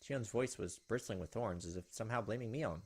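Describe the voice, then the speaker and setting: A man's voice: lowish voice, a little fast, slight background noise. A man hosting a game playthrough, switching between casual commentary and reading story text aloud. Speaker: gaming video host.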